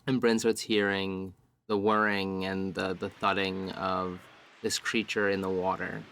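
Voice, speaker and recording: A man playing a tabletop roleplaying game, describing scenes as he improvises them, piecing his sentences together in halting fragments. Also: deep voice, little pitch movement, a bit bright, a little hiss or hum.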